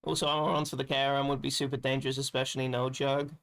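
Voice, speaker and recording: A man streaming a game, his voice raspy and thick with gravelly fry. He sounds tired and rambles loosely, dropping casual swears as he chats. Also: medium pitch, level tone, fast, a bit bright. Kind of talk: casual gaming stream chat.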